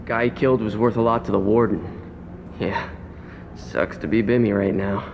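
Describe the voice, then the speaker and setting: A man's voice: fairly low pitch, fairly even intonation, normal speed, creaky, lo-fi sound, a little hiss or hum. A man playing a weary, streetwise prison convict in a video game, talking in slangy, resigned, colloquial speech. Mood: wry, gossipy. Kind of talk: video game character acting.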